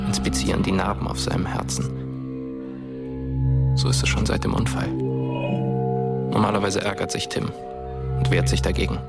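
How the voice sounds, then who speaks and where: An actor playing a dead teenage boy who narrates as a ghost in a German radio drama, measured, darkly knowing and often hushed. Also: deep voice, vocal fry.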